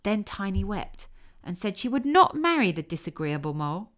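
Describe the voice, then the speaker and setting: A woman narrating a children's fairy tale from a script in a measured, expressive storytelling manner. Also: medium pitch. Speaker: audiobook narrator.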